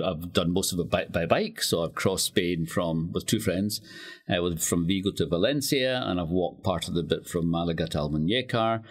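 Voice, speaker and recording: A Scottish man in his sixties from the west of Scotland, talking thoughtfully and telling anecdotes as a guest in a reflective radio conversation. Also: low-pitched. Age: 60s. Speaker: interview guest, writer.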